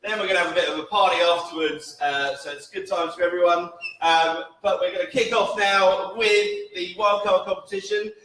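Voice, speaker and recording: A man's voice: sits high, steady tone, normal speed, hardly any pauses, bright, forceful tone. A man hosting a live cocktail competition, chatty and jokey, with many filler sounds. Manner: chatty, lots of "uh" fillers, jokey asides to the crowd. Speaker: event host. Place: large indoor event venue. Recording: echoey venue, distant mic, faint noise.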